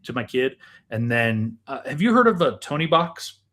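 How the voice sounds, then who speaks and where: A man's voice: mid-range voice, big pitch swings, normal speed, a bit bright. A middle-aged man, co-hosting a casual, chatty podcast with food tasting. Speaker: podcast co-host. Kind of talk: casual chat, snack tasting.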